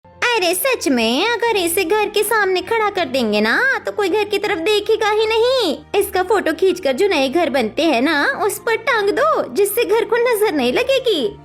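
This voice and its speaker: A woman's voice: extremely high pitch, sing-song, unhurried, soft background music, exaggerated voice. A woman voicing a catty, mocking party guest in a cartoon story. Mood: jeering.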